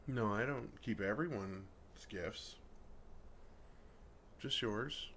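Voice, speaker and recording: A man's voice: lowish voice, a little hiss or hum. A man playing a friendly, naive male dragon who talks earnestly and playfully to a human in an ASMR roleplay. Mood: puzzled, earnest. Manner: earnest, playful, puzzled, soft chuckles. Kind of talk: ASMR roleplay, intimate one-sided dialogue.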